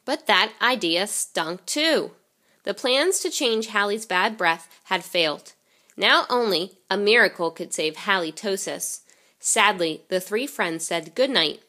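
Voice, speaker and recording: A woman reading a children's picture book aloud in an expressive storytelling way. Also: medium pitch, unhurried. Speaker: reader.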